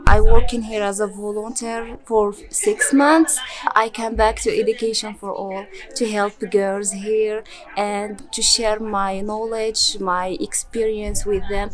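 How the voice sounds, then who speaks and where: A woman's voice: mid-range voice, level tone, a little slow, music in the background, low-quality recording. A woman in her twenties from rural Morocco, a Berber speaker with a Moroccan accent, answers interview questions in hesitant English with many fillers and restarts. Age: 20s. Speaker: interviewee and volunteer.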